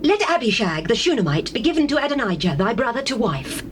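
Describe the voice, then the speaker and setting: A woman's voice: a little high, very animated, fast talker, a little hiss or hum. A woman playing a dignified queen mother with formal, courtly delivery in a dramatized scripture production. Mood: gentle petition. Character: queen mother Bathsheba, dignified, gently petitioning. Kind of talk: dramatized scripture, classical stage acting.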